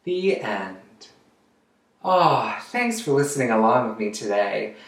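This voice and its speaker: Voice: medium pitch, very animated, measured pace, far from the mic. A drag queen host reading a children's picture book aloud in a theatrical way, drawing out words for young listeners. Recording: roomy, echoey, away from the mic.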